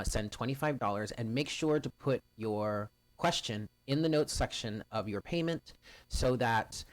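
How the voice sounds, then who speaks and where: A man hosting a live stream of readings, chatting casually with viewers and greeting them by name in a loud, carrying voice. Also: on the low side. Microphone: home stream mic, first too quiet, then louder.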